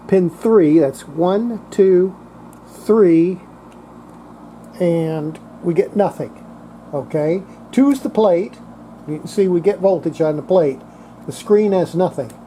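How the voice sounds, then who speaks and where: A plainspoken repair demonstrator with a medium-pitched voice gives casual hands-on commentary. The speaker thinks aloud while working. A clearly audible steady hum of room noise runs behind the voice.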